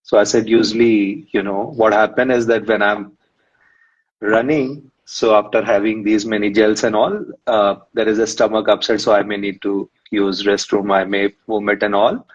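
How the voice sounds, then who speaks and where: Man, lowish voice, rambling guest, muffled lo-fi stream audio. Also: steady tone, moderate pace. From India. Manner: rambling storyteller, many "you know" fillers. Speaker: guest, runner and psychologist. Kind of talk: live-stream interview.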